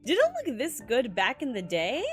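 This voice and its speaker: A woman's voice: fairly high pitch, wildly swooping pitch, moderate pace. A woman game streamer giving casual, slangy reaction commentary with exclamations and swearing. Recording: music behind the voice. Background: game music.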